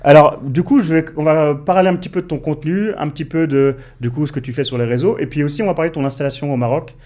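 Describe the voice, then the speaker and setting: A man's voice: on the high side, quick pace, a little mellow. A man hosting a podcast interview, asking questions casually with many hesitant fillers. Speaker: podcast host. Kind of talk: casual interview, scripted intro.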